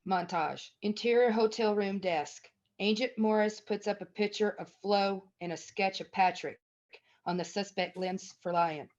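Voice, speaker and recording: Woman to narrate screenplay directions, medium pitch, on somewhat dull audio. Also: unhurried, a little mellow. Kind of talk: scripted narration.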